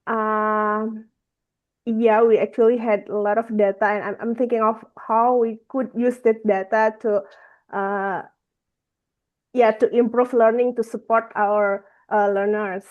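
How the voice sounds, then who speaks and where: A woman's voice: on the high side, flat delivery, measured pace, phone-quality sound. A woman with an Indonesian accent introducing herself politely and hesitantly over a thin-sounding video call. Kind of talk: informal self-introduction.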